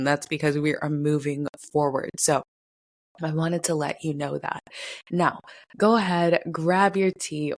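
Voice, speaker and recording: A young adult woman hosting a casual wellness podcast. She has a stuffy, congested, slightly raspy voice and talks to the listener in a chatty, upbeat way, like a best friend. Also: sits low. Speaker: podcast host.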